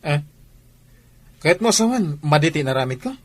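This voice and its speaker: A man with an Ilocano Filipino accent acting a stubborn, grumbling shop owner and son in an audio drama, his voice slightly husky and testy. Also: on the high side, animated, normal speed, lightly acted. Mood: indignant.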